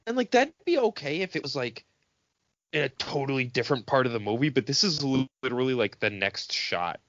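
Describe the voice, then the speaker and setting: A man's voice: mid-range voice, expressive, a little fast, fairly bright tone, no echo, lo-fi sound. A man co-hosting a podcast in casual film banter, sarcastic and profane, mockingly acting out lines. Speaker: podcast co-host.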